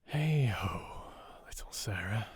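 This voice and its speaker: A fond, boyish storybook father, played by a male reader, speaks gently and wistfully. His voice is lowish and tender, with a dry, close sound. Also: lively intonation, slow, husky, no echo, whispered, exaggerated voice.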